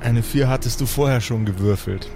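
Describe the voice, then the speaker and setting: A man's voice: medium pitch, a little fast. A man narrating an improvised tabletop game as game master, theatrical and teasing between bits of casual banter. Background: background music.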